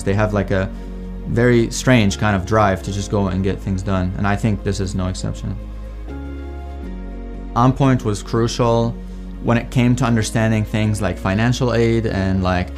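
A man's voice: lowish voice, fairly even intonation, fairly quick. Young man's earnest story over music. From Iraq. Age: young adult. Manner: reflective, earnest. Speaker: PhD student telling his story. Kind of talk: edited personal testimonial.

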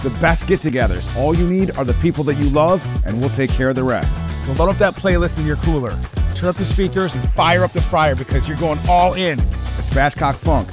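A man announcing a cooking show promo with high-energy party hype. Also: fairly quick, music in the background.

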